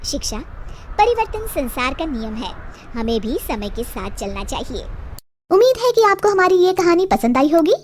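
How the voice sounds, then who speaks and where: A woman's voice: high voice, big pitch swings, moderate pace, slight background noise. A woman narrating a scripted family story as a storyteller.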